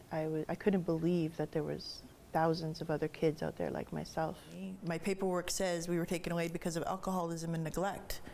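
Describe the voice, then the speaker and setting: Woman, fairly low voice, earnest and reflective, halting restarts, faint hum behind. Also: darkish tone. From Canada. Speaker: activist. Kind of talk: documentary interview.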